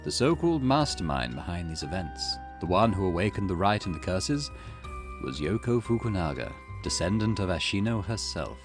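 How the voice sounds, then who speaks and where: A cryptic, formal storyteller figure, read aloud by a man, speaking in a low voice that rises and falls widely. The delivery is measured and theatrical, with game music playing clearly behind him. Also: sing-song. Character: cryptic, formal male storyteller guiding the player. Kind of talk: reading game dialogue aloud.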